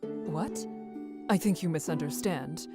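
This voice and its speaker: A woman voicing a tough but caring orphanage matron in a visual novel, with a measured, gruffly affectionate manner. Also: sits low, over music, pitched below the performer's own voice. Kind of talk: visual novel character reading.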